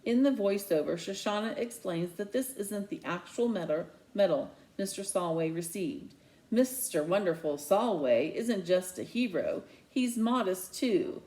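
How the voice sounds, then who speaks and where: A man's voice: high voice, lively intonation, measured pace, darkish tone, poor audio. A woman voicing a resentful, sarcastic middle-school boy in a children's novel read aloud. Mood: bitter, sarcastic. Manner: indignant, sarcastic.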